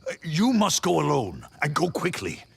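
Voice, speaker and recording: A man playing a weary, disdainful knight in a video game, formal in speech, with a gravelly, husky voice. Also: on the high side, wildly swooping pitch, moderate pace, heavily acted. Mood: grave urgency. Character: weary, disdainful knight keeping vigil against pirates. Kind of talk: video game character dialogue.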